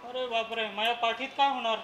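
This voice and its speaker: A man's voice: extremely high pitch, fairly even intonation, fast. A man acting a joking, hapless husband and father on stage, speaking emphatically and mixing in English phrases. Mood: joking dismay. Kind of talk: theatrical comic stage acting. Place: theatre stage in an auditorium. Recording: echoey auditorium with faint noise.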